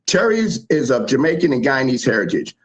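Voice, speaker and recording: A man from the South Bronx, telling history in animated, emphatic casual chat as a show host. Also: mid-range voice, a little fast, low-quality recording. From South Bronx, New York.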